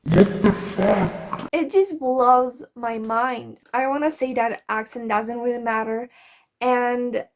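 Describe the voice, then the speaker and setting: A woman's voice: on the high side, slow pace, slight background noise. Polish-accented woman giving frank advice. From Poland. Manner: earnest, frank, occasionally swears when fired up. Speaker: vlogger giving language-learning advice. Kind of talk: direct-to-camera advice talk.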